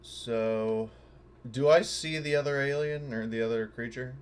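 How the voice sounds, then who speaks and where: A man's voice: mid-range voice, a little slow, smooth. A man taking part in a tabletop role-playing game as a player, talking casually with the group.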